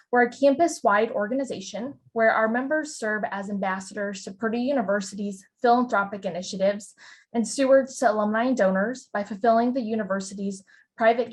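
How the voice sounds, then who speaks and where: An upbeat young college senior from Indiana introduces her student board in polished, lightly scripted phrasing. Her mid-range voice comes through a video call. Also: medium pitch. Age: young adult. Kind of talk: prepared panel introduction.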